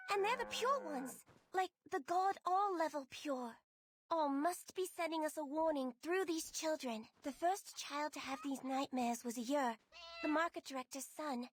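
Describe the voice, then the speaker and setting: A woman's voice: very high voice, a little hiss or hum. An actress playing a young, gloomy girl prophet in a video game, dramatic and slangy in a girlish way. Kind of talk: video game character acting. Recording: music behind the voice.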